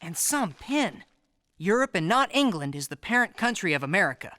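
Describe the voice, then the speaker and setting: A woman voicing an idealistic, outspoken teenage boy reporter in an animated series. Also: medium pitch, normal speed, dry recording, studio quality. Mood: admiring. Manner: keen, outspoken.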